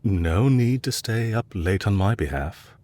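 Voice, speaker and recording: A man playing a courteous, calculating villain leader in an intimate audio roleplay, speaking politely and with measured, softly teasing delivery. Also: on the low side, animated. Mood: gently curious. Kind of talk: intimate scripted audio roleplay addressed to the listener.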